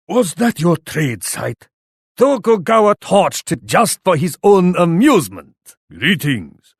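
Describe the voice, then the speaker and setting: An actor playing a pompous, menacing Japanese shogun warlord in a video game, speaking with formal, scornful deliberation. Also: male voice, on the high side, fairly bright tone, dry recording, clean studio sound. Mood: haughty taunting. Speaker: enemy leader taunting the player. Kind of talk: theatrical video game villain voice lines.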